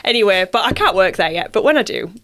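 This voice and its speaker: A woman's voice: medium pitch, expressive, fast, fairly bright tone. A woman from Bradford with a Bradford accent, an actress chatting casually as a guest in an interview. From Bradford, England.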